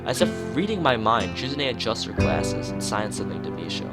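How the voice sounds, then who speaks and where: A man's voice: medium pitch, sing-song, a little fast. A man live-streaming a visual novel, reading it aloud and adding casual, somewhat rambling asides. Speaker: game streamer. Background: music with plucked guitar.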